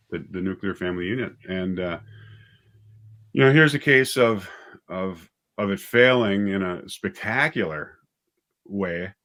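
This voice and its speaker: A man being interviewed as a writer and director, talking casually and haltingly as he thinks aloud. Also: low voice, a bit bright.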